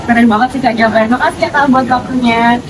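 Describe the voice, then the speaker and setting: Young female interviewer amid loud noise. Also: a little high, fairly even intonation, fast talker. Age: young adult. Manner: informal, chatty. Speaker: student interviewer. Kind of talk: casual interview questioning. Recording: very loud background noise, vehicles.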